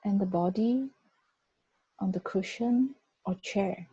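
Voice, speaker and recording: A woman guiding a body scan meditation, giving gentle, unhurried instructions with long pauses. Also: medium pitch, slightly rough, no echo. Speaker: meditation guide. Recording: dull, muffled lo-fi recording.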